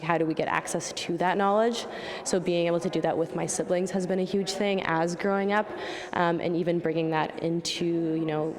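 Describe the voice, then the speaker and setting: A woman's voice: lowish voice, big-room sound. A young woman artist from Manitoba, Canada, reflecting casually in an interview with frequent "like" and "you know". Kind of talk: reflective interview talk about own art.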